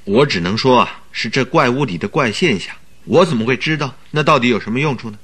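一位男演员在广播剧中饰演侦探的搭档，直率、易激动，常带感叹语气，表演戏剧化。. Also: male voice, mid-range voice, animated, fast talker, fairly bright tone, slightly rough. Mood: baffled. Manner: exclamatory, blunt, theatrical. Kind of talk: Chinese radio drama acting.

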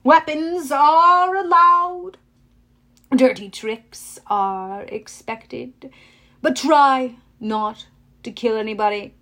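A woman's voice: high voice, sharp tone, exaggerated voice. A woman doing a smug, drawling old camp director. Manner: drawling, mock-pleasant, unhurried. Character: smug cruel older male camp director. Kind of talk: audiobook character voice.

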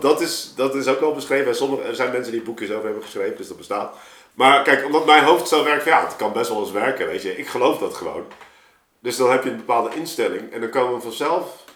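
A man from Suriname talking casually on a podcast as a guest, rambling and thinking aloud as he explains his ideas. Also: on the low side. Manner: rambling, thinking aloud, frequent 'zeg maar' asides. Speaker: podcast guest, artist and storyteller. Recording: roomy, echoing room sound.

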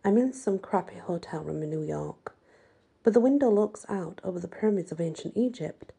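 A woman's voice: lowish voice, lively intonation, brisk, vocal fry, mellow, breathy edge. A woman reading a first-person novel aloud as scripted audiobook narration. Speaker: audiobook reader.

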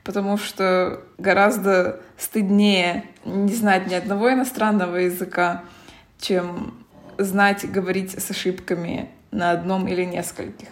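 A woman from Russia with a Russian accent, co-hosting a podcast in a relaxed, reflective chat. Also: mid-range voice, a little slow. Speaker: podcast co-host.

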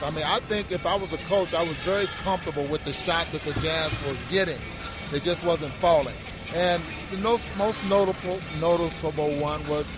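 An American man with a slightly high voice chats through basketball analysis over clear background music. He is reflective and halting, often doubling back on his words. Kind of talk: conversational post-game commentary.

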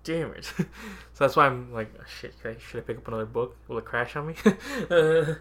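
A man's voice: mid-range voice, fairly quick, fairly bright tone. A man with a mid-range voice talks casually as a podcast co-host. He chats informally about games and often breaks into a chuckle between phrases. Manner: chuckles often while talking.

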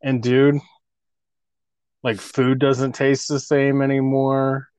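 A man's voice: medium pitch, completely flat delivery, slow, a little mellow, smooth, no room sound. A man in his thirties co-hosting a casual podcast, joking crudely and laughing often. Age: 30s. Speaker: podcast co-host.

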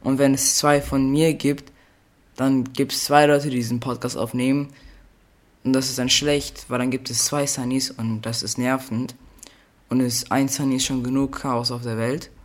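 A man's voice: medium pitch, level tone, moderate pace. A teenage boy hosting a solo podcast, rambling playfully, correcting himself and losing his train of thought. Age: teens. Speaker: podcast host.

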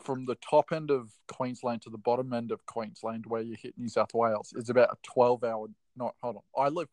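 A man's voice: mid-range voice, darkish tone, no echo. An Australian man, a guest on a podcast, chatting casually and telling stories readily. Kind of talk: casual chat.

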